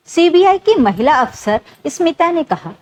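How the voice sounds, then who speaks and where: Woman, high voice, very lively intonation, emotive storytelling, scripted dramatic narration. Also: a little slow.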